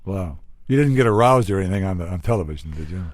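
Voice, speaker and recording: A man with a moderately low voice works as an interviewer. He sounds conversational and informal, putting questions in a joking, prompting manner. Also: animated, quick pace, a little mellow, no echo, clean studio sound. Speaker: interviewer, podcast host.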